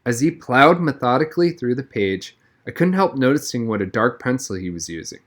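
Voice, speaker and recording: A man's voice: medium pitch, big pitch swings, fairly quick. A man reading a children's novel aloud as a first-person audiobook narrator.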